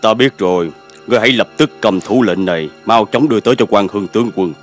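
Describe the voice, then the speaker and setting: An actor dubbing a calm, resolute middle-aged chancellor and strategist in an animated historical drama, measured and commanding. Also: male voice, on the low side, faint music behind, lightly acted. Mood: composed, commanding.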